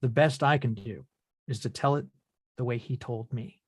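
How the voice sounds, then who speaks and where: A man's voice: medium pitch, moderate pace, husky, no room sound. A man playing a reflective son in his late twenties in a film script table read.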